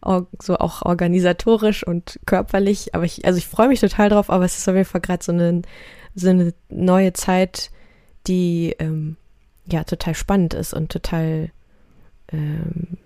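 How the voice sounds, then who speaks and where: A woman's voice: on the low side, level tone, normal speed, warm tone, no room sound, clean studio sound. A woman musician, a native Russian speaker, talking casually in an interview with many fillers and restarts. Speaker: musician guest.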